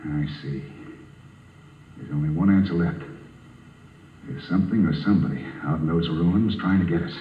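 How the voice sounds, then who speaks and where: An actor playing a curt, impatient, commanding spaceship captain in a 1950s radio drama. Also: male voice, deep voice, normal speed, pauses often, natural voice. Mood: grim, suspicious. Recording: muffled, hissy, echoey 1950 radio broadcast.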